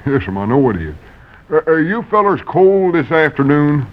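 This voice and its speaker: An actor playing a polite, slow-witted young country fellow in an old-time radio comedy, with a rural Southern accent and deferential talk. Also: male voice, on the high side, very animated. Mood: polite, simple. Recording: muffled vintage radio recording.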